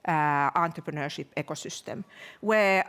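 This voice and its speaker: A woman speaking as a university provost, giving a welcome speech to an event audience with frequent pauses and repeated words. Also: low-pitched, a bit bright, smooth. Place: live event venue at a university.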